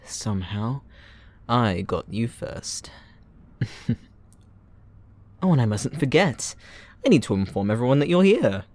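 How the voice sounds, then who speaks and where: Supervillain for roleplay audio drama, male actor: low voice, unhurried delivery, theatrical, sarcastic, toying playfully with a captured hero. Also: a little mellow. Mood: gloating. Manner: mocking, playful, taunting, chuckling.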